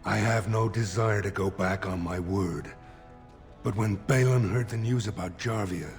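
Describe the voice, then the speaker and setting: A man voicing a dignified dwarf lord seeking a throne in a video game, speaking in a measured, formal manner. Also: fairly low pitch, soft background music. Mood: grave, formal. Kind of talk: video game character dialogue.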